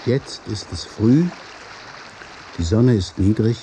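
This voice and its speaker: A man narrating a literary radio play in measured, observational short phrases. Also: fairly low pitch, background noise.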